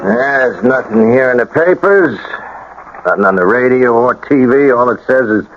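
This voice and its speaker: An actor playing a nervy, wisecracking small-time crook's sidekick in a radio drama, slangy and excitable. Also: male voice, medium pitch, normal speed, soft background music, lightly acted. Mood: uneasy grumbling. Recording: dull old radio broadcast.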